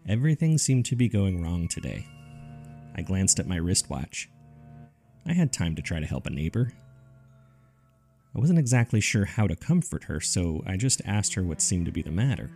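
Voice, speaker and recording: A man narrating a scripted spooky first-person story in a measured, dry, conversational way, as if reading from a journal. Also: low voice, sing-song, creaky, a little mellow. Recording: music under the voice. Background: background music.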